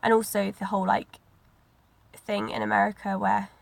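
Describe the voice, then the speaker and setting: A woman's voice: mid-range voice, flat delivery, normal speed, vocal fry, dry recording. A woman with a cold, sounding bunged up and sniffly, chatting casually in a rambling reading vlog. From Britain. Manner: rambling, many likes and ums. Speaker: book vlogger.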